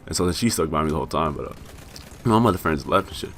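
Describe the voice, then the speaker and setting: Young American man vlogger, raspy, low-voiced, rambling profane storyteller. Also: expressive, very fast, fairly bright tone, a little hiss or hum. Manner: rambling, profane, self-mocking with laughs. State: raspy from being high. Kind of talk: casual storytelling.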